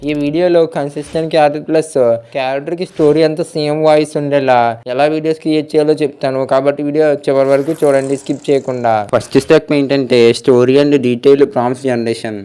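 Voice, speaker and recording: A man's voice: fairly high pitch, flat delivery, fast, few pauses, mellow, smooth. A man hosting a step-by-step tech tutorial in voice-over, mixing English tech terms into his speech.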